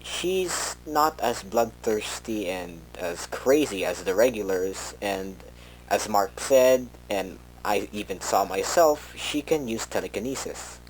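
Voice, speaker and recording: A man's voice: on the low side, expressive, takes it slowly, slight background noise, low-quality recording. A man playing a researcher recording an informal log tape, talking in a hesitant, conversational way and often interrupting himself. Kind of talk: in-character monologue for a fan horror audio log.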